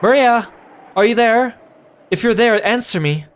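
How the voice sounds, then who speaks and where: A man's voice: high voice, sing-song, moderate pace, voice pushed up. A man reading the lines of a distraught, remorseful mother who pleads for forgiveness, in a visual novel read aloud on stream. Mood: frantic calling. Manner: pleading, apologetic.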